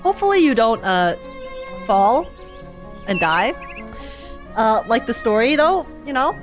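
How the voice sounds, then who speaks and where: A woman's voice: on the high side, sing-song, a little slow, faint music behind. A woman streamer giving live game reaction commentary in a playful, exclamatory, theatrical manner.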